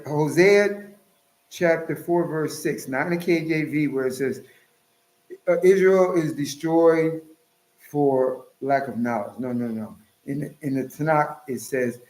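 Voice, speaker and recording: A man's voice: on the high side, a little mellow, echoey room, poor audio. A man preaching and reading scripture in a lecturing, emphatic way, now and then jumping into mocking voices. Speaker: Hebrew Israelite teacher.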